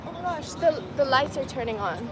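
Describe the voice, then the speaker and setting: A woman's voice: sits high, lively intonation, brisk. A woman chatting casually on a livestream, reading viewer comments aloud and talking to her audience as "guys". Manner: chatty, laughs easily, talks to viewers as "guys". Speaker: vlogger reading live chat. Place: outdoors in a city at dusk. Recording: outdoor stream with clear traffic noise. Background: street and traffic noise.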